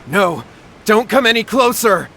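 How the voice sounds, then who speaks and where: A man's voice: high-pitched, sing-song, measured pace, creaky, bright, forceful tone, a little hiss or hum, exaggerated voice. A man playing a cowardly, panicky young rascal in a video game, stammering and whimpering. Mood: terrified. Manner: stammering, whimpering, dragged-out words. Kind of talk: scripted video game voice acting.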